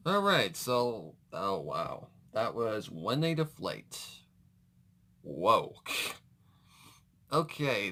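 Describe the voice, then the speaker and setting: A man's voice: on the low side, bright, forceful tone. A man hosting a reaction video with casual, rambling, exclamatory commentary.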